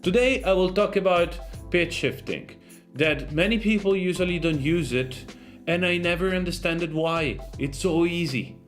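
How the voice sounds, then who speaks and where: A man giving a casual, loosely phrased software tutorial as a sound designer. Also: high voice, moderate pace, faint music behind.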